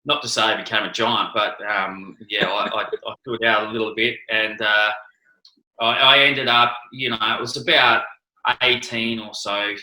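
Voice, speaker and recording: A man's voice: on the low side, steady tone, moderate pace, bright, forceful tone, roomy sound, low-quality recording. A man with an Australian accent answering interview questions, hesitant, with many restarts and ums. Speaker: interview guest, former cricketer.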